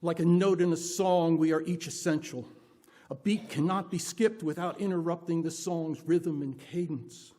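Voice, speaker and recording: A person leading a worship service from a pulpit, reading aloud with deliberate, emphatic expression. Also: mid-range voice, fairly even intonation, warm tone. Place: church pulpit.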